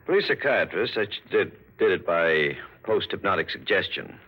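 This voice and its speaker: An actor playing a steady, authoritative police captain, matter-of-fact and explanatory, in a 1940s radio drama. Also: male voice, deep voice, normal speed, slightly rough, full character voice, low-quality recording. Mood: explaining.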